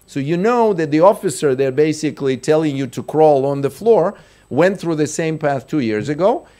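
A middle-aged man delivers a keynote from a lectern microphone in a large conference hall. His voice sits a little high for a man. He explains point by point and often stops, restarts and repeats words. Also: expressive, normal speed, mellow. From Russia. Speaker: keynote speaker.

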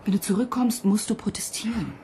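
A woman playing a composed, measured psychologist at ground control in a radio drama. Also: mid-range voice, mellow, a little hiss or hum, natural voice. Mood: calm, advising. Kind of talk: German radio drama acting.

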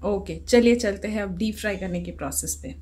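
Woman, 30s, cooking show host, husky voice, casual chat, home kitchen. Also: mid-range voice, a little fast, darkish tone. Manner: encouraging, asks guiding questions.

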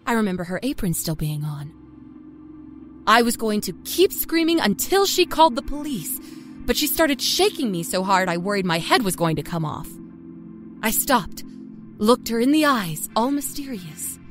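An actress plays a wry small-town woman looking back on her childhood without remorse. Her pitch moves freely as she narrates in a conversational, folksy way, like a dark audio story told first-hand. Music plays behind her voice. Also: very animated, normal speed, lightly acted. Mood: wry, matter-of-fact.

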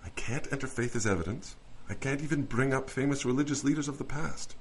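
A man's voice: mid-range voice, brisk, lightly acted. An actor playing a cynical, weary lawyer in an audio drama, switching between wry asides and formal address. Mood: wry, muttering. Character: weary, cynical celestial defence lawyer, adult man.

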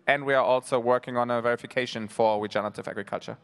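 Fast, clear-voiced man on a panel. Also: mid-range voice, steady tone, a bit bright. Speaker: panel speaker. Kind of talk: conference panel talk. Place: large conference stage.

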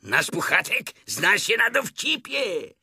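A man voicing a boisterous, scatterbrained tiger in a children's story, exclaiming and roaring with excitement. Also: high-pitched, slow pace, vocal fry, husky, dry recording, clean studio sound, pitched above the performer's own voice, full character voice. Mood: excited, urging. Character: boisterous, scatterbrained young tiger, bouncy and boastful.

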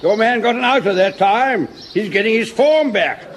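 An actor playing a gloating, mocking upper-class rival captain in an old radio sitcom. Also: male voice, high voice, animated, moderate pace, a little hiss or hum.

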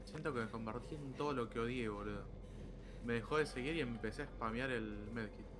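Argentine man, mid-pitched, casual slangy gamer talk with halting explanations, clearly noisy voice-chat recording. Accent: Argentine Spanish. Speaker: fellow player in voice chat. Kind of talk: casual chat while gaming.

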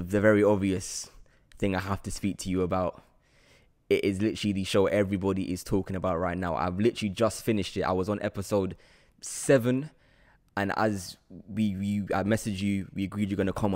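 Low-voiced young British podcast host. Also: male voice, a little mellow. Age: 20s. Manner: enthusiastic, slangy. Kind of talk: casual interview chat. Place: indoor studio room with large windows. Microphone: close microphone on a boom arm.

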